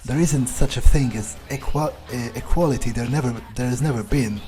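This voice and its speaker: A man's voice: medium pitch, a little fast, warm tone. A man role-playing as a vampire in a game playthrough, thinking aloud in a hesitant, sometimes profane way. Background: faint game music.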